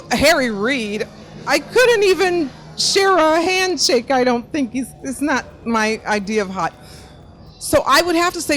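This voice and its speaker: A woman speaking as an interview guest, quick and joking. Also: on the high side, a bit bright. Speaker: guest entertainer. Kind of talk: interview answers and promotion.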